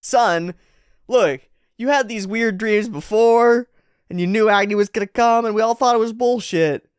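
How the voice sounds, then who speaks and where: A woman voicing a brash, foul-mouthed fighter in a comic read-aloud, blunt, loud and scoffing. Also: fairly high pitch, moderate pace, smooth, dry recording, studio quality, pitched above the performer's own voice, lightly acted. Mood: exasperated.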